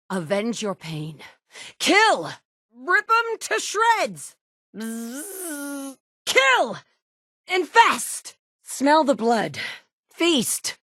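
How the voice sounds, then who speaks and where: A terse wasteland survivor. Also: female voice, sits high, bright, forceful tone, no echo, studio quality, full character voice. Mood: battle cries. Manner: terse and commanding. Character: resolute woman survivor, a mother searching a wasteland. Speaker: player character. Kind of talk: recorded video game voice lines.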